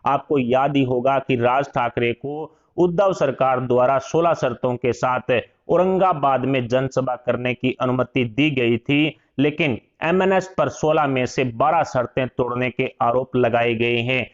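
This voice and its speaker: A man's voice: mid-range voice, measured pace, hardly any pauses, muffled recording. A man reads scripted political news as a voice-over narrator.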